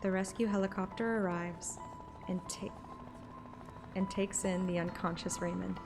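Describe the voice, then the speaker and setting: Creaky-voiced woman narrating a story. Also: mid-range voice, steady tone, a little slow. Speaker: narrator and streamer. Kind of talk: scripted first-person story narration, audiobook-like. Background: music.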